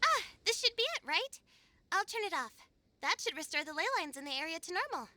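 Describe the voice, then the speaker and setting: Very high, bright young female scholar. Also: sing-song, fairly quick, full character voice. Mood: composed, musing. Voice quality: bright, youthful. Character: young woman scholar, second personality, composed, thoughtful, self-assured. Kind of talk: anime-style video game voice acting.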